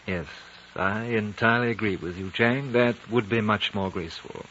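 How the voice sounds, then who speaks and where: An actor playing an urbane, reserved British consul in a 1950s radio drama, politely understated and dryly formal. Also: male voice, on the low side, normal speed, noisy background, lightly acted. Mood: wry, polite. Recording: dull 1950 radio recording.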